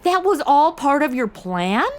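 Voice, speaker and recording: A woman's voice: high-pitched, sing-song, unhurried. A woman playing a brash, impulsive modern American sidekick in a comedy audio drama, slangy, blunt and sarcastic. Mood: incredulous.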